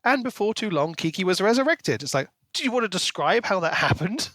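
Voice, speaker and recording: A man's voice: high-pitched, very animated, fairly quick, a bit bright, no echo. A man co-hosting a podcast, talking through a book in an articulate, reflective, conversational way. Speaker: podcast co-host. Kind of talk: book discussion, casual analytical chat.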